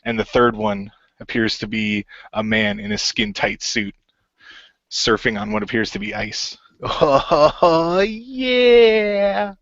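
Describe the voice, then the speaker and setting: Male narrator, radio play, slightly low voice, improvised description, dry muted home recording. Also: level tone, moderate pace, sharp tone, no room sound, lo-fi sound.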